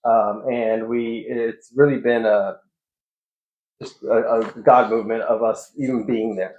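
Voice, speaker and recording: A man's voice: fairly low pitch, level tone, measured pace, darkish tone. A man speaking as a pastor and interview guest, answering in an informal, reflective, conversational way. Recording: echoey room, dull muffled sound.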